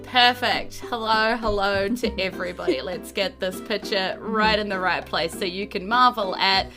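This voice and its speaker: A woman with a New Zealand accent hosting a podcast as dungeon master in a lively, casual style. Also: mid-range voice, moderate pace, over music. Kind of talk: lively casual podcast intro and game recap.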